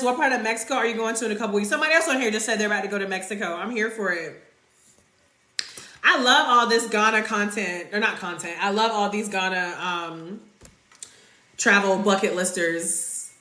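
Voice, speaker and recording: A bright, forceful-sounding woman from North Carolina chats through a livestream Q&A, rambling casually and leaning on "like" as she reads and answers comments. Her voice bounces around an echoey room, picked up on a phone mic under a ring light. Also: mid-range voice, fairly quick. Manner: chatty and rambling, many "like" fillers, answers comments aloud. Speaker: travel creator hosting a live Q&A. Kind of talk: casual livestream chat reading viewer comments.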